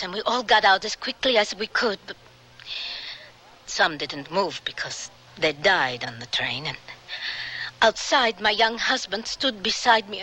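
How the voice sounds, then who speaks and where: An actress playing a grieving older woman who survived the camps, speaking in a measured, halting, solemn way in a radio drama. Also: fairly low pitch, sharp tone, rough recording.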